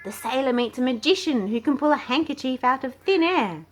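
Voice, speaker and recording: A woman's voice: high-pitched, animated, brisk, pitched above the performer's own voice. A woman voicing a cheerful, eager young male entertainer from a storybook, bouncy and theatrical. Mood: showy, playful.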